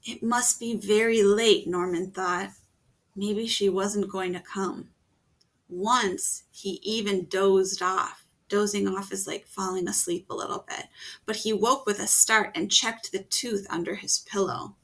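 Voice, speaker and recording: A woman reading a children's picture book aloud, gently pausing to ask her young listeners questions. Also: mid-range voice, steady tone. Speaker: storytime reader.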